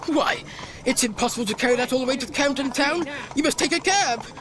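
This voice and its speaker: An actor playing a reformed old miser, an excitable, generous gentleman with an effusive, exclamatory manner in a radio play. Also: extremely high pitch, brisk, crowd noise. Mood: joyful. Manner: exclamatory, effusive, repeats phrases. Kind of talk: radio drama acting.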